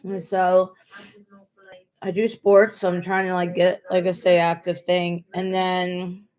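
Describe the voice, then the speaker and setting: A woman's voice: lowish voice, little pitch movement, slow pace, a little mellow. A woman in her late twenties talking casually to the camera in a personal vlog, rambling, repeating herself and restarting her sentences. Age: 20s. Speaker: vlogger.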